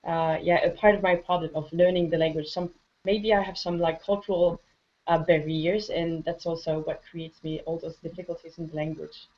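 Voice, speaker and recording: A woman guest speaking hesitantly and reflectively, with many pauses, about learning a language. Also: on the low side, flat delivery, muffled recording. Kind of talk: casual conversation.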